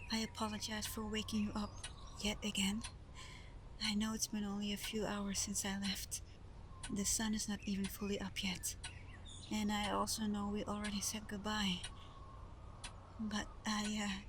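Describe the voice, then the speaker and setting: A woman playing a shy, eager young woman in a soft-spoken roleplay audio drama, speaking gently with hesitant stammers and breathy half-whispers. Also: medium pitch, completely flat delivery, unhurried, noisy background, close to an everyday voice. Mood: shy, hopeful. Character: shy, sweet, eager young woman, Santa's adopted daughter.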